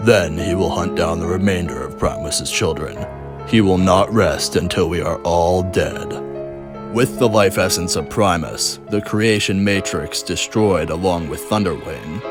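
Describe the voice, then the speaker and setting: A man voicing a noble, weary, resolute robot leader in a comic dub, grave and measured. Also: low voice. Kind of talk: fan comic dub, solemn dramatic speech.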